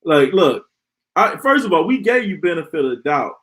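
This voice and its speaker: Male show host, high swooping voice, slangy, mocking, repeats himself, curses in heated banter. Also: very animated, moderate pace, background noise. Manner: mocking, slangy, repetitive, profane.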